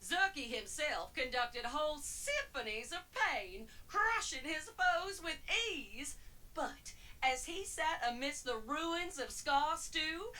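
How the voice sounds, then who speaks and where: A woman's voice: high-pitched, expressive, bright, forceful tone, exaggerated voice. A woman voicing a chirpy, chatty female robot spy in a video game, theatrical and wry as she narrates audio logs. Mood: fond, ominous. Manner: theatrical, perky, drawn-out emphasis with wry asides.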